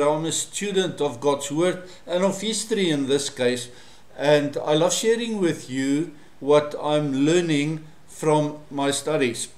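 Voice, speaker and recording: Afrikaans-man teaching in an echoey room. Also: a little high. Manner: measured and explanatory, with frequent fillers. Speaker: Bible study presenter. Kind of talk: solo teaching monologue to camera.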